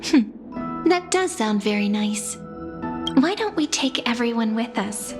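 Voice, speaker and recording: An actress playing a gentle, hopeful young heroine in a video game, speaking with earnest tenderness. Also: female voice, a little high, expressive, slow, music in the background. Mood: tender, hopeful. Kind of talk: dramatic game voice acting.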